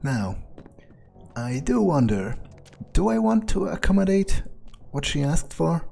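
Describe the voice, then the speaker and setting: Slow, mellow man thinking aloud in character. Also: fairly high pitch, big pitch swings, vocal fry. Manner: hesitant thinking aloud, casually menacing. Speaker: gaming video player. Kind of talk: in-character roleplay gameplay commentary. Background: faint music.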